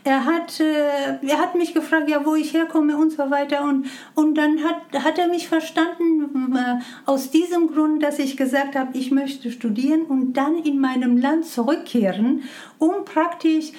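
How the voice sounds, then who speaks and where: High-voiced Iranian woman, halting. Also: steady tone, darkish tone. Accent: Persian. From Iran. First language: Persian. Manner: hesitant, many fillers and restarts in non-native German. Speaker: interview guest. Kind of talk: conversational interview.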